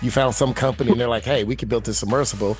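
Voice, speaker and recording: A man co-hosting a podcast, hoarse from the flu, rambling and swearing as he tells stories. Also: medium pitch, fairly even intonation, racing, music in the background. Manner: rambling storyteller, profane. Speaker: podcast co-host. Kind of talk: casual podcast banter.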